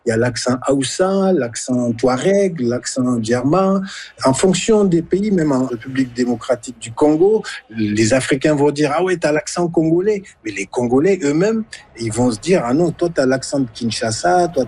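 A husky-voiced man explaining in a spontaneous interview, with emphatic repetition. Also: on the high side, darkish tone. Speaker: interviewed guest.

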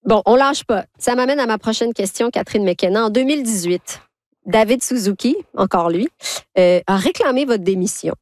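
A woman's voice: fairly high pitch, moderate pace, a little mellow, dry recording. A woman interviewer putting prepared questions in an articulate, probing way.

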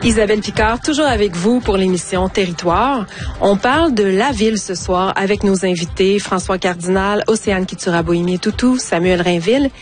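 A woman hosting a radio interview, asking brisk, friendly questions. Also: medium pitch, soft background music. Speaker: radio host.

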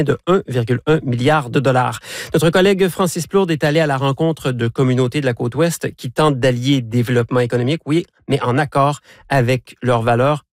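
A man hosting a radio show and introducing a report, with lively emphasis. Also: mid-range voice, no echo, studio quality. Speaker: radio host. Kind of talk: scripted radio presentation. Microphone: studio mic.

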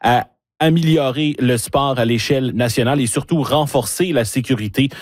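A man's voice: mid-range voice, normal speed, no echo, studio quality. A man with a Canadian French accent working as a news interviewer, asking conversational questions with some hesitant rephrasing.

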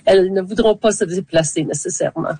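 A woman's voice: medium pitch, fast, warm tone, breathy edge. An older woman, giving hesitant conversational answers as a panel guest.

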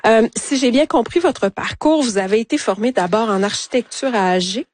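A woman's voice: a little high, animated, normal speed, a little mellow. A woman interviewing a guest, asking short prompting questions and giving brief acknowledgments. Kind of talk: radio-style interview.

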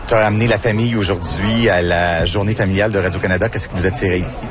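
A man's voice: low-pitched, fairly even intonation, fast talker, a crowd in the background. A man doing casual interviews as a reporter at an outdoor event.

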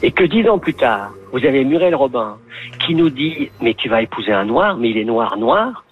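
A man arguing a point about comedy, animated and emphatic. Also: mid-range voice, moderate pace, breathy edge. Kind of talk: animated argument. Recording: tinny phone-like line with music.

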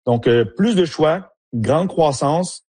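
A man's voice: on the high side, animated, slow, darkish tone, slightly rough, no echo. A man, a former player turned soccer analyst, answering interview questions with frequent fillers and restarts. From Haiti. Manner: hesitant, many fillers and restarts.

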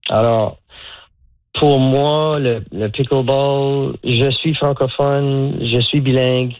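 Guest: man in his 60s from Moncton, halting and filler-heavy, heard over a thin, dry phone line. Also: mid-range voice, steady tone, dry recording. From Moncton, Canada. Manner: hesitant, many fillers and restarts. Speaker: guest, pickleball coach. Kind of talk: radio phone interview.

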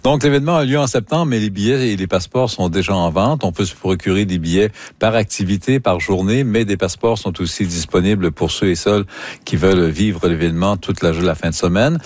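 A man's voice: low-pitched, fairly quick, darkish tone, no room sound. A man with a Quebec French accent presenting arts news as a radio host.

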